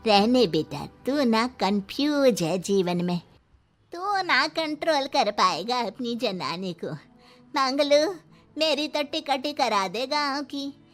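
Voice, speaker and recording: Scheming old mother-in-law matriarch, acted by a woman: slow, goading, complaining, slightly high, for a moral tale. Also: expressive. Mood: sulky, goading. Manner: taunting, drawn-out complaining. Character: scheming, sour old grandmother-in-law stirring up trouble. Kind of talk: Hindi moral story dramatization. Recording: music behind the voice.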